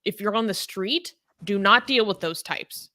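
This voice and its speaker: A woman co-hosting a casual news discussion, chatty with many fillers, reading stories aloud and reacting with animated commentary. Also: a little slow. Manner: animated, rises in pitch when outraged.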